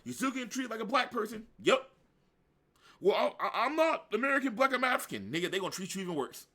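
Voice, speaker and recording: A man ranting casually in heavy slang and profanity, animated and blunt. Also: high-pitched, quick pace. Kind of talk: casual rant.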